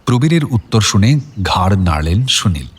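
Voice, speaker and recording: A man's voice: fairly low pitch, sing-song, moderate pace, breathy edge. A man narrating a scripted detective audio story in the third person. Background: music.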